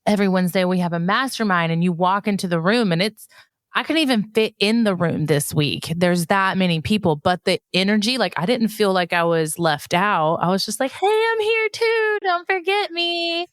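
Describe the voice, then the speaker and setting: A woman's voice: mid-range voice, animated, moderate pace, dry recording, studio quality. A woman co-hosting a podcast, speaking in casual chat with personal examples.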